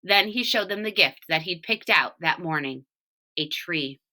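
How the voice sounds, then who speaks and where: Bright-voiced woman reading a children's story. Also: lowish voice. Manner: deliberate, expressive emphasis on key words. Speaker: storybook reader, teacher reading for home learning. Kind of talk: expressive children's story read-aloud.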